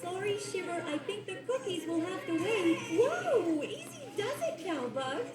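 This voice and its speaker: A woman voicing a motherly, gently exasperated female spider in a children's cartoon. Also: very high voice, big pitch swings, unhurried, reverberant, loud music behind the voice, heavily acted. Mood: brisk, apologetic. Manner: motherly, instructive. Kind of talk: animated children's cartoon acting.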